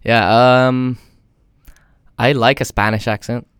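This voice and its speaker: A man's voice: mid-range voice, flat delivery, slow, fairly bright tone, clean tone, dry recording, clean studio sound. A young man chatting casually with a friend, laid-back, swearing freely and laughing often. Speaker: friend in conversation. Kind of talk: casual chat.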